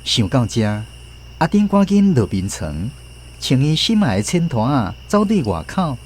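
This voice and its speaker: A man with a Taiwanese accent narrating a scripted radio storybook drama in an expressive storytelling manner. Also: mid-range voice, normal speed, breathy edge.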